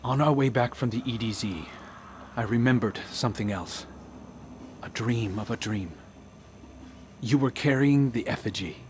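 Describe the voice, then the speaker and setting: An actor playing a troubled, brooding scout haunted by dreams, speaking in a hushed, halting way in a video game. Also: male voice, medium pitch, slight background noise, natural voice. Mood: troubled, wondering. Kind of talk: dramatic video game dialogue.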